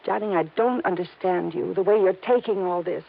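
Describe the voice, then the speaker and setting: A distraught young wife from an old radio crime drama, pleading and emotional. The sound is thin and tinny, like a narrow old broadcast. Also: female voice, on the low side, moderate pace, vocal fry. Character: anxious young married woman, pleading. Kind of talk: 1950s radio drama acting.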